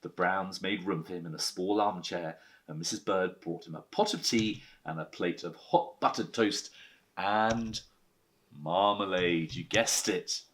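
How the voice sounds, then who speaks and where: A man in the role of a headteacher, reading a children's story with animated narration. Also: low-pitched, measured pace.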